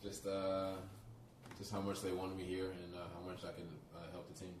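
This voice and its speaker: A man answering interview questions as a podcast guest, halting and soft-spoken with frequent "uh" pauses. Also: deep voice, completely flat delivery, reverberant, distant mic, background noise. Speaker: professional basketball player, guest.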